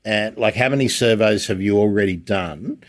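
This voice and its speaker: A man's voice: lowish voice, moderate pace. A man with an Australian accent hosting a casual interview, inquisitive and a little rambling, with a self-deprecating way of talking. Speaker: livestream host and interviewer.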